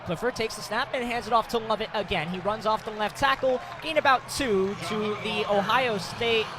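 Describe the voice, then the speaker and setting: A young American man with a very high-pitched voice calls live football play-by-play. His delivery is clipped and excitable, projecting loudly as plays develop. Clear stadium noise runs behind him. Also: moderate pace. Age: young adult.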